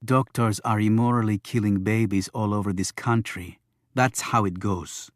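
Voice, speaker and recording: A man's voice: on the low side, steady tone, normal speed, dry recording, studio quality, natural voice. A man playing a contemptuous, passionate female protester in an audiobook, speaking bluntly.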